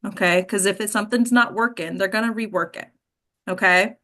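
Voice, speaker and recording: A woman's voice: mid-range voice, steady tone, fairly quick, a bit bright. A woman hosting and coaching actors in an informal talk, frank and addressing her listeners directly. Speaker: host and acting coach.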